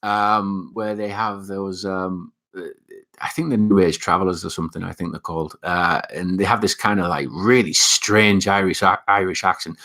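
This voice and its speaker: A man's voice: low-pitched, steady tone, normal speed, pushed and bright. A young man with a Northeast England accent, hosting a casual live chat and reading viewer comments, rambling with lots of fillers and restarts. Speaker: livestream host reading chat.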